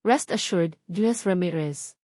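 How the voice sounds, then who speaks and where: A woman's voice: on the low side, measured pace, a little mellow, dry recording, clean studio sound, natural voice. A woman voicing an anxious, heartbroken wedding planner who keeps a polite, strained composure in a story. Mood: polite, composed.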